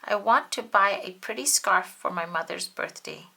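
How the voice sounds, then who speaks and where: A woman's voice: mid-range voice, normal speed, creaky, bright, forceful tone, rough recording. A woman voicing an earnest, polite little girl in a storybook, explaining things sincerely.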